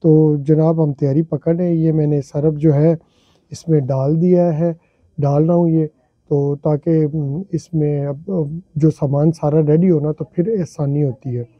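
Husky-voiced man explaining a car wash. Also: fairly high pitch, fairly even intonation, measured pace, no room sound, highs cut off. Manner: chatty, explaining step by step, addressing viewers politely. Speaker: vlogger. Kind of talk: casual how-to narration. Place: home garage, indoors.

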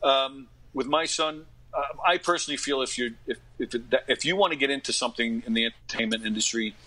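Bright-voiced middle-aged man, earnest and colloquial. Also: medium pitch, a little fast, rough recording. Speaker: podcast guest, actor and comedy radio host. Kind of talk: casual interview talk.

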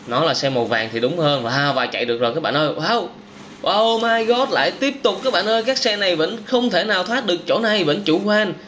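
A young man hosting a channel, giving lively game reaction commentary for kids, exclaiming often and talking straight to viewers. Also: a little high, a little hiss or hum. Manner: excitable, frequent wow exclamations, addresses viewers directly. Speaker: channel host.

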